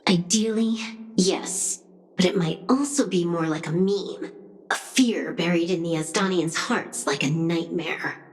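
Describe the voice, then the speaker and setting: A woman's voice: fairly low pitch, measured pace, reverberant, big-room sound, close to an everyday voice. An actress playing a cool, wry young female hacker in a video game, with a dry, teasing, laid-back delivery. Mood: calm, explaining. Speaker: game character voice actor. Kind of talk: video game dialogue acting.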